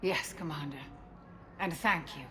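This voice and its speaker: A woman's voice: low-pitched, measured pace, pushed and bright. A woman playing a loyal, dryly witty ship's doctor in video game dialogue, polite in manner. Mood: loyal, wry.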